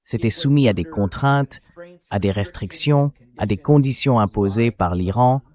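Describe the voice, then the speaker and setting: Low-pitched man explaining in measured tones. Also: a little mellow. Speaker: voice-over translator of an interview. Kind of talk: dubbed political analysis, measured explanation.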